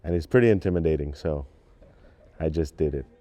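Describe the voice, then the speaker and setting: A man's voice: extremely low pitch, brisk, mellow. A teenage male student actor talking plainly and casually in an interview. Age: teens, high school student.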